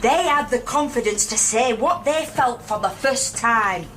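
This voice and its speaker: An actress playing a fiery, defiant miners' wife and activist with a Northern English accent and a rousing, plain-spoken way of talking in a naturalistic radio drama. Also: female voice, on the high side, expressive, a little slow, fairly bright tone, a little hiss or hum. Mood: impassioned.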